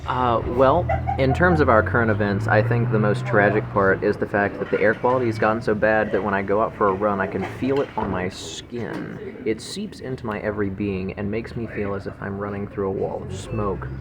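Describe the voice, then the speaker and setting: A man's voice: fairly low pitch, brisk, hardly any pauses. A young man on a comic podcast panel, talking in a mock-pompous way. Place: sushi restaurant. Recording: busy restaurant chatter behind.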